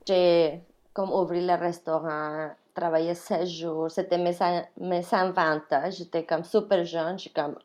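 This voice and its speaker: A woman's voice: lowish voice, little pitch movement, a little slow, a little mellow. A woman from Mexico with a Mexican Spanish accent, telling her story casually in an interview, chatty, laughing often and slipping in English words. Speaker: interview guest, restaurant worker.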